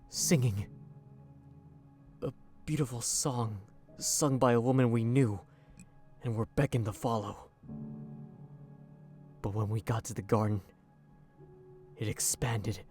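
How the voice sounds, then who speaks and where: A man voicing a young shapeshifter, shaken and halting, recounting how his humanity was taken. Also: medium pitch, darkish tone, lightly acted. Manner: halting, haunted, breaking into cries. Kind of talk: audio drama acting.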